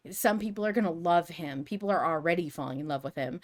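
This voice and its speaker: Low-pitched woman talking through her thoughts. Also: fast talker. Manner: rambling, reasoning aloud through hypotheticals. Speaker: podcast co-host. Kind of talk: casual fan discussion and speculation.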